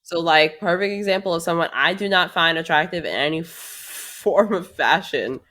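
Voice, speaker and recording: Outspoken woman with a bright voice. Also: on the low side, normal speed. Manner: outspoken, profane, sarcastic. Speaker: podcast co-host. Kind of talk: casual chat.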